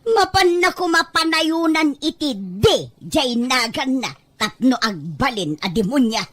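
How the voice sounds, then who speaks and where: Woman acting a scornful, high-voiced middle-aged mother. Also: very animated, measured pace, fairly bright tone, dry recording, exaggerated voice. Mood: bitter, disapproving. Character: scornful middle-aged mother gossiping bitterly about a neighbor.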